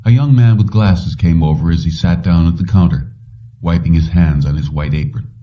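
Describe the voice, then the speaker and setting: A man's voice: sits low, normal speed. A man reading a short story as scripted audiobook narration. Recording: dull lo-fi, distant from mic.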